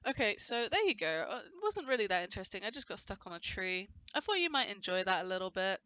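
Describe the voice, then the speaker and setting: A woman's voice: medium pitch, very animated, quick pace, sharp tone. A woman with a cold, casually narrating her gameplay in a chatty, rambling way with frequent restarts. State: has a cold, voice a bit off. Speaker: gameplay commentator. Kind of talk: casual let's-play commentary.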